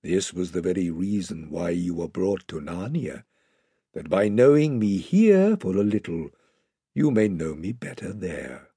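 Storybook lion played by a male narrator: majestic and gentle, low breathy voice, slow grave delivery, dry sound. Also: lively intonation, no room sound, exaggerated voice. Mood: tender, grave.

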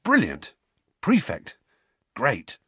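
A man's voice: mid-range voice, huge pitch swings, drawn-out words, fairly bright tone, breathy edge, dry recording, pitched above the performer's own voice, exaggerated voice. A man voicing a teenage boy with forced, overly hearty cheer in an audiobook. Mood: falsely hearty.

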